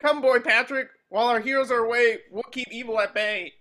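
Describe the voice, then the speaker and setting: Very high, bright, squeaky male earnest, naive cartoon sponge kid hero. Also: a little fast. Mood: excited. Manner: excitable, earnest. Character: eager, naive young cartoon sea-sponge hero fanboy. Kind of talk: cartoon table reading.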